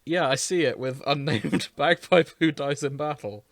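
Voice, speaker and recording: Male podcast co-host, crisp bright tone, dry sound, crude comic banter. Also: on the high side, moderate pace, dry recording. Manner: irreverent, crude joking. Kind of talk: casual comedic banter.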